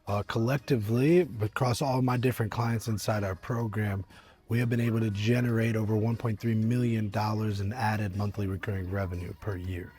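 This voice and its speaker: A young man with a husky, deep voice, talking earnestly to camera outdoors at a winery, rambling with many fillers and restarts as a business coach. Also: fairly even intonation, brisk, a little mellow. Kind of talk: casual motivational talk to camera. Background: faint eighties music.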